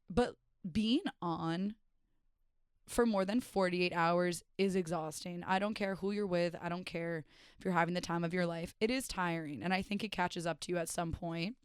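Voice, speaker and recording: Rich: A young woman with a lowish, hoarse, raspy voice rambles through a casual solo podcast, self-mocking and full of filler words. The sound is close and dry, with no room around it. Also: no room sound. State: hoarse, raspy voice after days of partying. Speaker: podcast host and stand-up comedian.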